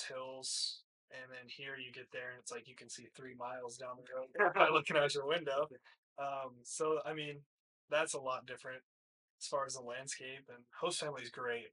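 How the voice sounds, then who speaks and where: A young man of nineteen speaks as a podcast guest. His voice is bright and crisp-edged at a middle pitch, and he answers casually, like an athlete chatting indoors. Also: level tone, normal speed, low-quality recording. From hill country, United States. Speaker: college baseball player, interview guest. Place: small plain room, indoors, seated.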